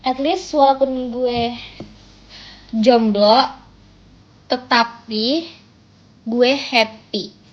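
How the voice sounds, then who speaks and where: A young woman chats playfully on a phone livestream, teasing and poking fun at herself. Her voice sits a little high and she talks at an unhurried, drawn-out pace. The sound is dull and lo-fi, like a phone mic. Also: fairly even intonation. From Indonesia. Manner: playful, teasing, self-mocking banter. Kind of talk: casual chat. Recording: dull lo-fi phone stream audio.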